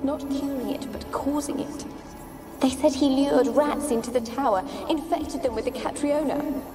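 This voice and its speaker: A woman's voice: high-pitched, moderate pace, lightly acted. An actress playing the lonely, haunted ghost of a young noblewoman in a video game, with a plaintive, dramatic delivery. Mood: anguished, fearful. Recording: loud music under the voice. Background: game soundtrack music.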